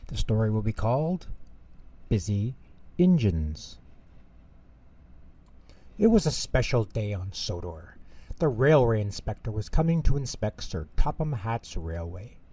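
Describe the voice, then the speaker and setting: A man reading a children's bedtime story aloud as an expressive storyteller. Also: fairly low pitch, measured pace, pauses often.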